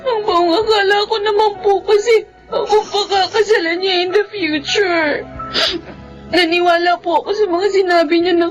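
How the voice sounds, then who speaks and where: A woman with a Filipino accent playing a naive, tearful young daughter, pleading haltingly through sobs in a radio drama. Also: extremely high pitch, fairly even intonation, soft background music, rough recording. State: crying.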